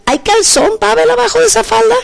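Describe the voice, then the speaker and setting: A woman in her fifties hosts a lively talk show. She teases her guests playfully, and her speech is full of exclamations. Her voice sits high and leaps around a lot. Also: brisk, vocal fry. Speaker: host.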